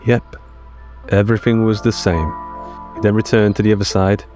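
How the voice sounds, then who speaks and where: A man narrating scripted audio fiction in a measured, deliberate storytelling manner with short dramatic phrases. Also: lowish voice, fairly even intonation, a little mellow. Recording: music under the narration.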